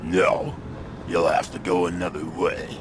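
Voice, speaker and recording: Gruff game constable, very deep voice, curt, rustic, menacing, clear background noise. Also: male voice, little pitch movement, a little slow. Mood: curt, evasive. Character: gruff, hostile small-town constable, big man. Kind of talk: video game voice acting.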